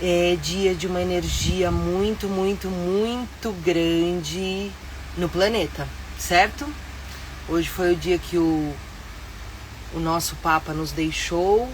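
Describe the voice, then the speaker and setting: Brazilian lady doing a phone livestream from her motorhome, stuffy nose from a cold, upbeat and chatty, lots of fillers, bit of low background hum. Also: poor audio. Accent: Brazilian Portuguese. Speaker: live-stream host and tour guide. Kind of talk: casual live-stream chat.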